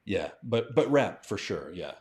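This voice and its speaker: A man's voice: on the low side, big pitch swings, a little slow, darkish tone. An American man chatting casually as a language teacher on a livestream, thinking aloud and often checking in with a "right?". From southern United States. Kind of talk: casual live-stream discussion.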